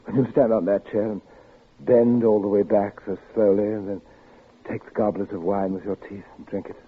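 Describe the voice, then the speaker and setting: An actor playing a soft-spoken, courtly but sinister man with a knife in a 1950s radio drama. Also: on the low side, little pitch movement, moderate pace, slightly rough. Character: smooth, sinister middle-aged man with a knife. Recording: old radio broadcast, tinny band.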